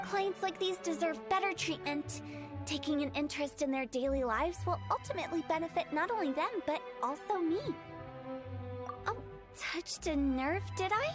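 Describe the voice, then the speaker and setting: A woman voicing a mischievous young female funeral director who makes cheerful, macabre sales pitches in a game. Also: natural voice. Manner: teasing, salesy, darkly playful. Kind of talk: video game voice acting.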